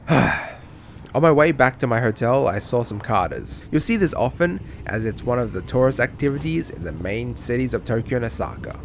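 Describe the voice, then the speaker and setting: Man narrating a vlog, lively voice. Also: medium pitch, normal speed, a little hiss or hum. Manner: chatty, self-mocking, swears casually. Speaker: travel vlogger. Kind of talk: casual voice-over narration.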